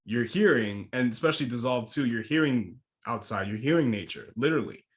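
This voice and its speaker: A man's voice: mid-range voice, animated, a little fast. A man hosting a casual podcast interview, enthusiastic and laughing often. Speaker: podcast host.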